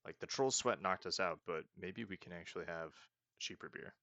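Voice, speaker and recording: A man's voice: low-pitched, moderate pace, bright, forceful tone, no echo, highs cut off. A man casually talking through a roleplay video game as the host, thinking aloud and often restarting his sentences. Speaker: let's play host. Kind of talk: casual gameplay commentary.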